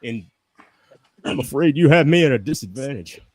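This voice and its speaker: A man playing a smooth, flirtatious male starship commander in a live script table read. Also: medium pitch, animated, normal speed, no room sound, lightly acted.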